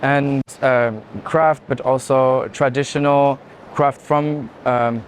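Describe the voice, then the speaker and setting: Male art director, casual and halting, mid-range voice, clear noise of a busy venue. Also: medium pitch, steady tone. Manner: halting, loosely phrased with frequent "like". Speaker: art institution director on a fair tour. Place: busy art fair hall.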